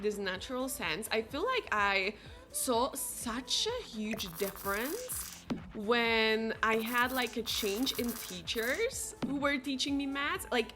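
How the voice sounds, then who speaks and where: Bright-voiced Slovak woman chatting. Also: a little high. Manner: chatty, heavy use of "like", reads viewers' chat aloud. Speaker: streamer. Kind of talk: casual chat while playing a game. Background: faint game music.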